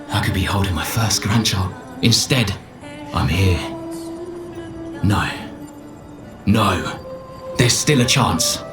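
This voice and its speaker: An actor playing a weary, despairing expedition member who records a halting, heartfelt journal in a video game. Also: male voice, sits low, natural voice. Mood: grieving, then resolute. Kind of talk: dramatic game voice acting, reflective monologue. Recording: roomy echo under music.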